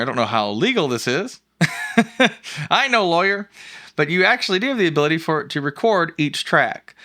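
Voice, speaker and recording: A man hosting an informal, jokey, rambling software walkthrough in a casual screencast style. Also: medium pitch. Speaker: host of a software review show.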